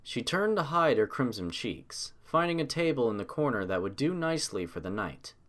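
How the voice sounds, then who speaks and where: Male story narrator, mid-range voice, animated delivery of scripted prose. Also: medium pitch, normal speed.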